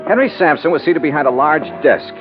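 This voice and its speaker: An actor playing a wry, no-nonsense insurance investigator, crisp and probing, in mid-century American radio detective style. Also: male voice, fairly high pitch, animated, brisk, over music, lightly acted. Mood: brisk narration. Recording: dull old radio recording.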